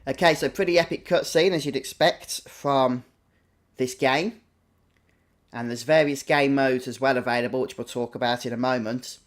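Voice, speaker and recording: Man, mid-pitched voice, chatty, unhurried, casual video game walkthrough host. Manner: unhurried, chatty, explaining choices aloud. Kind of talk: casual Let's Play commentary.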